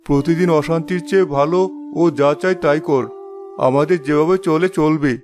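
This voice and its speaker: A man playing a calm, dutiful elder brother in a cartoon story, earnest and reassuring. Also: on the high side, moderate pace, over music, natural voice. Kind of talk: Bengali cartoon moral-story voice acting.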